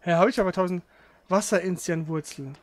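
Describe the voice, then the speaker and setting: A man's voice: high-pitched, fairly quick. A man doing casual gaming commentary, thinking aloud in a slangy, grumbling way. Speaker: let's player. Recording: clear background noise.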